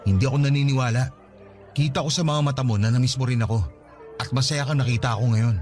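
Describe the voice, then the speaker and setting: An actor playing a remorseful, persistent young ex-boyfriend, pleading earnestly in a radio romance drama. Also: male voice, mid-range voice, fast, a little mellow, lightly acted. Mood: insistent, longing. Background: background music.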